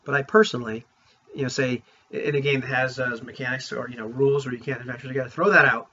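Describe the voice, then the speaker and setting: A man talking casually to viewers as a tabletop gaming vlogger, in an informal, rambling, opinionated way, with a husky voice. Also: medium pitch, fairly even intonation, quick pace, sharp tone. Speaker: vlogger on tabletop roleplaying games. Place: room at home. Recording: dull, lo-fi sound.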